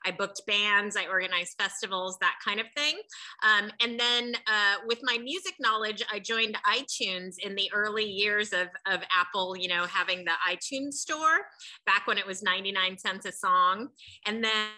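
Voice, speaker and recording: Bright-toned woman in her fifties. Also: mid-range voice, moderate pace, poor audio. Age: 50s. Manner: relaxed, thoughtful, with frequent "um"s. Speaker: guest artist. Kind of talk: casual video-call conversation. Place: large bright studio. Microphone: video call.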